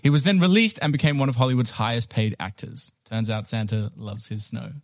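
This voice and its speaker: A man's voice: mid-range voice, a little fast, no room sound, clean studio sound. A man co-hosting a podcast, laid-back and swearing casually. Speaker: podcast co-host. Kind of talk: casual chat. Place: home studio, ground floor.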